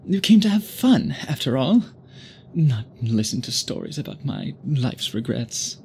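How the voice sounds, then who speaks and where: A man playing an obsessive, tender vampire suitor in an intimate ASMR roleplay, speaking in formal, gently doting phrases. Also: mid-range voice, big pitch swings, a little slow, vocal fry, slight background noise. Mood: melancholy, earnest.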